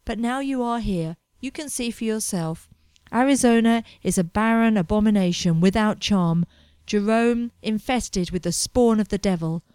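A woman voicing a pompous, melodramatic young man chasing gold, complaining loudly about everything around him. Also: medium pitch, normal speed, clean tone, no room sound, lightly acted. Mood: indignant. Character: anxious, pompous young male fortune hunter.

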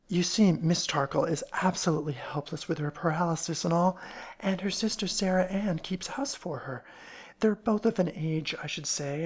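A woman's voice: fairly low pitch, slightly rough. A woman voicing an eager, gossipy young female shop clerk in a storybook reading, chatty and confiding.

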